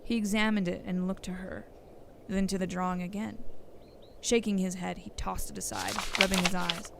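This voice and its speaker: A woman's voice: medium pitch, flat delivery, normal speed, creaky. A woman reading scripted storybook narration for an audio drama, on a noisy recording.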